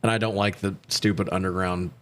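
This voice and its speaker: Man, low voice, casual movie recap, irreverent, swears freely, podcast co-host. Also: fairly even intonation, brisk, a little hiss or hum. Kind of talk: casual recap commentary, profane.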